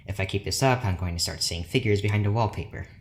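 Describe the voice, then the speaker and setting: An actor playing an obsessive, lonely, overworked scientist in an audio drama, formal and brooding. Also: male voice, fairly low pitch, fast, close to an everyday voice. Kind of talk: audio drama monologue, diary-like.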